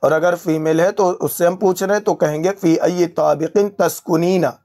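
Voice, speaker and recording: A man with an Urdu-Hindustani accent teaching Arabic phrases. He addresses his viewers with formal politeness, says each phrase slowly and repeats it word by word. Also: a little high, steady tone, a little mellow.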